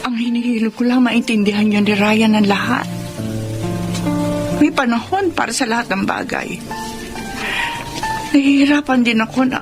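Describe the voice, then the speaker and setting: A woman's voice: a little high, normal speed, long pauses, close to an everyday voice. A woman playing a busy career mother who scolds and earnestly explains herself to her daughter, in a radio drama with music behind. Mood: pleading. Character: working mother, career woman, stern but loving. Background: background music.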